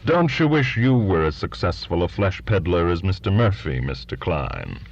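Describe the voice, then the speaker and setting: A man voicing a drunk, mocking middle-aged male writer who taunts loudly. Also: extremely low pitch, sing-song, slow pace, vocal fry, breathy edge, muffled recording, pitched below the performer's own voice, exaggerated voice. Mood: sneering drunk. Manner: loud, sneering, slurred taunting.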